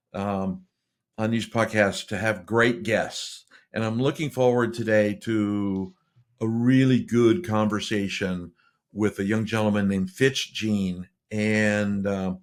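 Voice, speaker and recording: Male podcast host, low voice, loose and rambling, lots of "you know" and restarts. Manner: rambling, friendly, many fillers and restarts. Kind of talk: casual interview chat.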